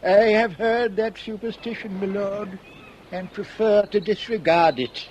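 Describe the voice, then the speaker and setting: High-pitched, deferential manservant. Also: male voice, measured pace, a little mellow, muffled recording, a little hiss or hum. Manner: deferential, practical. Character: deferential, stolid male manservant. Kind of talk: British radio drama acting.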